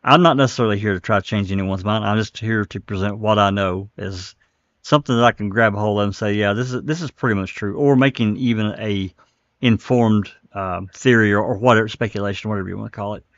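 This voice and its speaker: Low-voiced man rambling in a roomy space. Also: steady tone, fast, fairly bright tone, lo-fi sound. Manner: rambling, thinking aloud. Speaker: live stream host. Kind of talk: casual commentary on case records.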